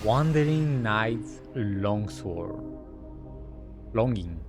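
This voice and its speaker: A man's voice: lowish voice, lively intonation, drawn-out words. A man giving casual reaction commentary as a gaming streamer. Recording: game music behind the voice. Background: game music.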